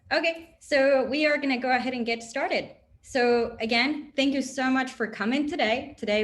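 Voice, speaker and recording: A young woman from Chile hosting an online info session, chatty and upbeat with many fillers, heard over a video call. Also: on the high side. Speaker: host, operations manager.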